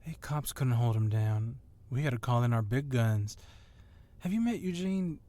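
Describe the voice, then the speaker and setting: A dark, relaxed-toned police wrestling champion played by a male actor in an ASMR audio drama. He is tough and sure of himself. He needles his rival with teasing confidence in a mid-pitched voice. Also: moderate pace, lightly acted. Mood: casual, admiring. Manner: teasing, self-assured.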